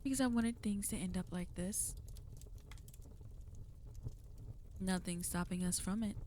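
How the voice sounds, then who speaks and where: Smug, teasing villainess, acted by a woman, slightly low. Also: fairly quick, mellow, a little hiss or hum, close to an everyday voice. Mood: flirty, coaxing. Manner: teasing, commanding, intimate. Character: teasing, possessive female villain, flirty and smug. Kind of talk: ASMR roleplay, spoken to the listener.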